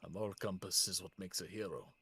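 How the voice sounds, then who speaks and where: A man's voice: sits low, steady tone, fast talker, sharp tone, breathy edge. A man playing a tabletop role-playing game, talking casually and speaking for his character.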